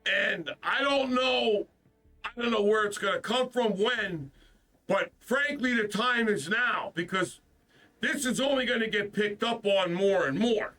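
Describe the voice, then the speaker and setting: A man hosting a gaming stream, still stuffed up after the flu, rants in a frustrated, profane way and keeps repeating himself. His voice sits high and bright. He sounds a little distant from the microphone. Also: unhurried, soft background music. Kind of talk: casual ranting stream talk.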